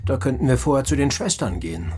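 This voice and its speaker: A man's voice: medium pitch, sing-song, fast talker, darkish tone, close to an everyday voice. Man playing urbane man-about-town, guitar behind. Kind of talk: German radio play acting.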